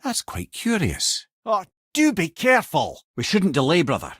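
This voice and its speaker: A man's voice: high voice, wildly swooping pitch, normal speed, fairly bright tone, husky, no echo, clean studio sound, full character voice. An actor voicing a witty, learned old male sage and storyteller in a video game, with a Scottish accent and a wry, erudite way of talking. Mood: urgent, animated.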